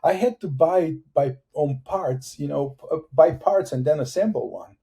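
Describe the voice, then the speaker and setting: A middle-aged man from Bulgaria with a Bulgarian accent, a guest talking casually in an interview over a video call, halting with many fillers and restarts. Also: fairly high pitch, gravelly, warm tone. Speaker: guest engineer.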